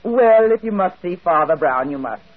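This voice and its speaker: Curt, grumbling housekeeper. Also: female voice, lowish voice, animated, normal speed. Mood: grudging. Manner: curt, grudging. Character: grumbling, protective parish housekeeper with gruff bark. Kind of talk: 1940s radio drama acting. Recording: muffled, noisy old radio broadcast.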